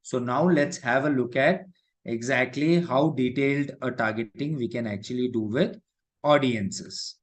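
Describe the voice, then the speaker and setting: A male instructor with an Indian English accent and a mid-pitched voice leads a live online lesson. He explains things step by step in a conversational way, regularly checking in with short tag words. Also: a little slow. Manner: repetitive check-in tags like "right" and "okay". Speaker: digital marketing instructor.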